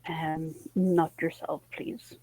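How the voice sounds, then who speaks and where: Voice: mid-range voice, flat delivery, slow, a little mellow, no echo, poor audio. A player in a tabletop game, talking casually and politely with some hesitation.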